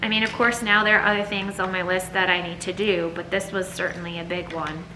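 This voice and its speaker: Low-voiced woman vlogging in an echoey room. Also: steady tone, moderate pace, pushed and bright. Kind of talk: candid personal vlog talk. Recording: echoey room, faint background noise.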